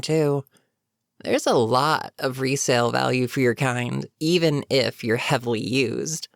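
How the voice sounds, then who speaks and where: A voice actor playing a bitter, menacing goblin captain in a fantasy audio roleplay, speaking to a captive with sardonic, unhurried menace. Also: medium pitch, vocal fry, dry recording, clean studio sound. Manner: sardonic, unhurried, matter-of-fact threats. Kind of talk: scripted fantasy audio roleplay, addressing the listener.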